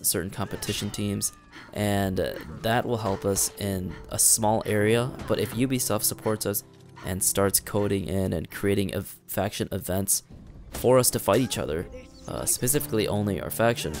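A young man chatting casually over gameplay, rambling with fillers and restarts, his voice worn raspy. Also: on the low side, a little mellow. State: raspy, strained voice. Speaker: gaming commentator. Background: faint background music.

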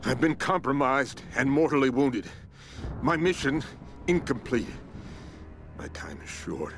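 Grim, wounded secret agent. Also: male voice, medium pitch, sing-song, measured pace, soft background music. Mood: grim urgency. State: weakened, wounded. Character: grim, dying male secret operative. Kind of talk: dramatic game voice acting, report.

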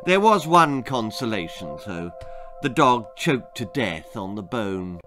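Man acting as old male turkey ghost, sad, weary, measured delivery, storybook character. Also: fairly low pitch, very animated, music in the background, voice pushed down. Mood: mournful. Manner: mournful, measured.

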